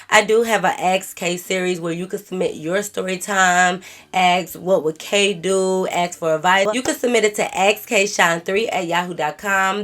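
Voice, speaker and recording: A woman's voice: fairly low pitch, fairly bright tone. Sassy congested woman vlogger. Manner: sassy, talks back to the letter in slang. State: congested from a cold. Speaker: storytime vlogger. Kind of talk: casual storytime, reading a viewer's letter and reacting.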